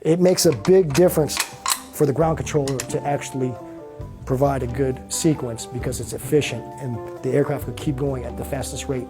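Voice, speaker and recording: A man working as an air traffic controller, explaining and giving instructions in a brisk, practical manner. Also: mid-range voice, steady tone. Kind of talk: interview explanation and radio instructions. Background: background music.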